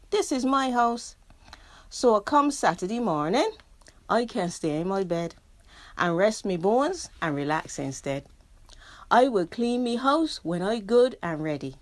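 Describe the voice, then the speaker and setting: A woman's voice: on the high side, no echo. A middle-aged woman with a Barbadian accent, a poet reading her dialect poems with rhythm and then talking about her book as a radio guest. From Barbadian family heritage, living in England. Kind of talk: poetry reading and radio interview.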